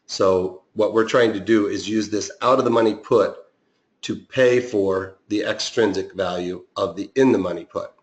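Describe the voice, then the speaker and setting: A man with a raspy voice explaining trading strategy in a live online lesson, often pausing with fillers and restarting his sentences. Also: on the low side, fairly even intonation, reverberant. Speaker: options trading instructor.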